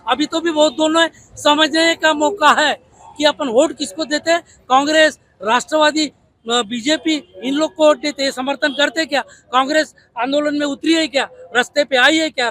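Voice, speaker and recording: A Maharashtrian man speaks in a very high-pitched, forceful voice. He argues like a protest activist, pressing his case with repeated rhetorical questions. The sound is dry and close. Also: steady tone, moderate pace, dry recording. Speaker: protest leader and party activist. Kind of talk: impassioned protest interview.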